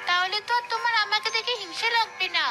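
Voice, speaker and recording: Woman voicing a very high-pitched pleading girl. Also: fast, over music. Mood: persuasive. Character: popular young human girl, frightened, pleading, persuasive. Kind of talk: cartoon voice acting.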